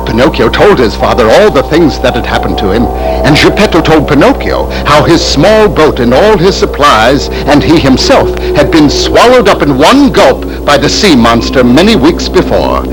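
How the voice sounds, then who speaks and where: A man narrating a children's audio story as a dramatic, expressive storyteller who draws out his words. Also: medium pitch, low-quality recording. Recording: loud music behind the narration.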